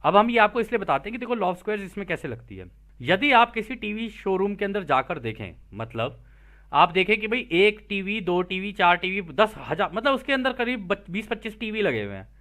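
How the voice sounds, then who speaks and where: A man's voice: high voice, sing-song, moderate pace, bright, forceful tone. A man teaching spiritual material, reading aloud and explaining it informally, checking in with listeners through rhetorical questions.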